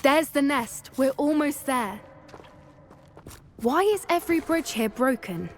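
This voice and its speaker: A young woman playing an earnest, gentle teenage witch who loves animals in a video game, with a British accent and an expressive delivery. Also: high voice, slow, background noise. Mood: relieved, earnest.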